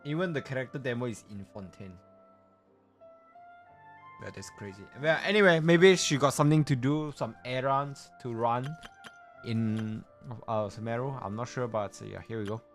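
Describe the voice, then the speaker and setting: A man chatting casually as he hosts a game livestream, halting and repeating himself, with loose non-native English phrasing. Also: mid-range voice, soft background music. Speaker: livestreamer hosting a game stream.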